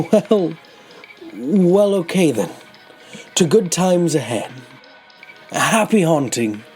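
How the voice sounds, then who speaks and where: An actor playing an older, humble, grateful man who tells his story in halting phrases in an audio drama. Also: on the high side, sing-song, darkish tone. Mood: moved, grateful. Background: music.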